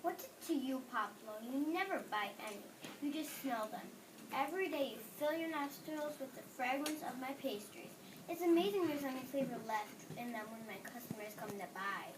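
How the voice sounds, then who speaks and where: A woman's voice: high voice, normal speed, natural voice. A young woman playing a cross, miserly male baker in amateur readers theater, scolding in a stiff read-aloud way. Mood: grumpy. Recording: noisy room, distant microphone.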